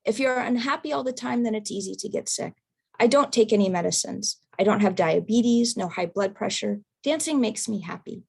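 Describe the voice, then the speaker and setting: A woman reads a prepared research paper aloud over a video call. Her voice sits in a middle pitch with a gravelly, fry-tinged edge. She keeps a careful, measured pace. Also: a little mellow. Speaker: academic presenter. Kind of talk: reading a prepared research talk.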